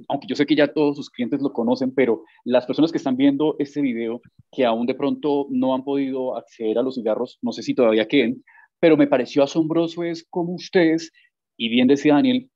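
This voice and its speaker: A man in his thirties hosts an interview from a small room at home. His questions run long and wander through fillers. His pitch is middle for a man and the webcam audio sounds a little dull. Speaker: interviewer host. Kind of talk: casual interview on a video call. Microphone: webcam with earphones.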